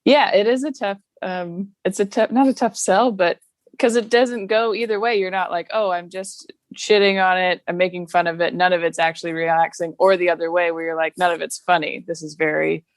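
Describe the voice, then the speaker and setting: A woman's voice: on the low side, fairly even intonation, fairly quick, no echo. A woman comedian chatting casually as a podcast guest over a video call, laughing often between her points. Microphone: remote video call mic.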